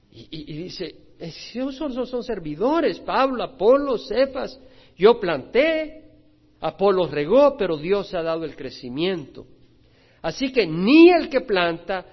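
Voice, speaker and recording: A man preaching in a big hall, his fairly high voice ringing out with a long reverb tail. He booms when he quotes scripture and drops to a low murmur for his asides. The recording is dull and muffled. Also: husky. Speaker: pastor. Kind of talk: expository sermon.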